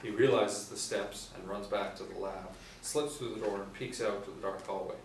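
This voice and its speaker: A man's voice: fairly low pitch, moderate pace, vocal fry. A middle-aged man reading scripted stage directions as the narrator. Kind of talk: scripted narration at a table read. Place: small indoor room, seated reading. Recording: echoey room, distant mic, faint noise.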